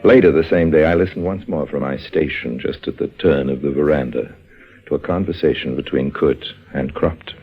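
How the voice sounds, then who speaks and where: A man's voice: extremely low pitch, moderate pace, slightly rough, full character voice. An actor playing a suave, sardonic con man who narrates with wry, urbane deliberation in old radio drama style. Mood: wry narrating. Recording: muffled old radio recording. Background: music.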